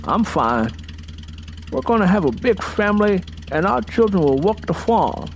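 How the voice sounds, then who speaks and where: A man's voice: high-pitched, big pitch swings, normal speed, a little hiss or hum, voice pushed up. A man voicing a domineering, hard-drinking farmer husband in an audiobook, with an emphatic, folksy, preaching manner. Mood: dreamy, stubborn. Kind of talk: dramatized audiobook character dialogue. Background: music bed.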